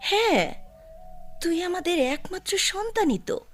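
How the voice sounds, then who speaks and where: An actress playing an elderly, doting village mother in a radio drama, plaintive and halting. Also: very high voice, animated. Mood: plaintive, pleading. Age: older. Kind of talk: Bengali radio drama acting. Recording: music behind the voice.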